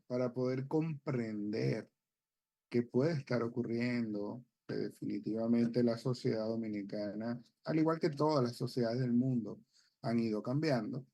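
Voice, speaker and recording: Gravelly-voiced Venezuelan man explaining. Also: mid-range voice, warm tone. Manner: measured, explanatory, with frequent hesitations. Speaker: psychologist guest. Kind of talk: expert explanation on a TV talk show. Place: TV studio.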